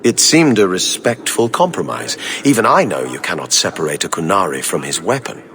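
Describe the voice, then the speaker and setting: An actor playing a stiff, disdainful government official in a video game, speaking formally and precisely. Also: male voice, low voice, sing-song, a little fast, sharp tone, big-room sound, a little hiss or hum, heavily acted. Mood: dry, calculating.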